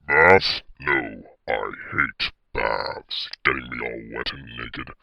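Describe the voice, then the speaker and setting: Very deep, slow megalomaniac villain baby. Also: male voice, gravelly, pushed and bright, no room sound, studio quality, full character voice. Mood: indignant, vengeful. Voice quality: very deep, booming voice. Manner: pompous, melodramatic villain delivery. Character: megalomaniac evil-genius baby pony, pompous and villainous.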